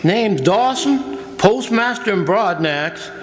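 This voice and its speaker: A man's voice: high-pitched, animated, slow pace. A man playing a modest older country postmaster in a radio drama, diffident, with clipped folksy phrasing. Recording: echoey hall stage recording.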